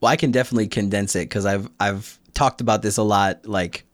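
Young american guy on a podcast, lowish voice, thinks things through out loud, really dry recording. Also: fairly even intonation, brisk, no room sound. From United States. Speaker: podcast guest, Korean learner. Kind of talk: casual conversational interview.

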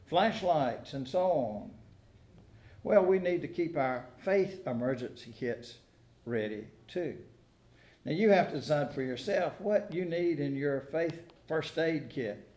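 An American man preaching a sermon in a folksy, conversational way, pausing deliberately between phrases. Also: on the high side, mellow. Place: church sanctuary during a service. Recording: echoey room sound.

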